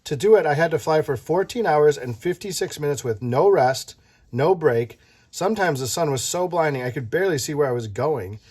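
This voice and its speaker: Animated storyteller reading to a child. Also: medium pitch, brisk, husky. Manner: playful, animated, stops to chat with the child. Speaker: read-aloud storyteller. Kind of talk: reading a picture book aloud to a child.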